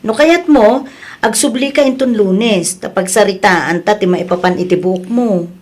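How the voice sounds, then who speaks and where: A woman with an Ilocano accent playing an exasperated, defensive village hairdresser in an audio drama, with expressive exclamations. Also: medium pitch, unhurried, lightly acted. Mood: defensive, firm. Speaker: drama voice actor.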